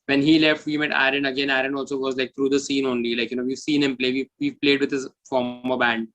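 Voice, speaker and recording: Bright-voiced Indian man chatting casually. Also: medium pitch, little pitch movement, fast talker. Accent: Indian English. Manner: loose, rambling, frequent "like, you know". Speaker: band bassist and founder, interview guest. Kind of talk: casual interview chat.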